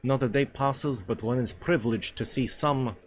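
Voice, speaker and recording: A man's voice: medium pitch, normal speed, natural voice. A jovial Russian patriarch, acted out by a man reading a storybook, chummy and boastful in his cups. The attempted Russian accent is theatrical and drawn out. Some noise sits behind the voice. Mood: jovial, savoring.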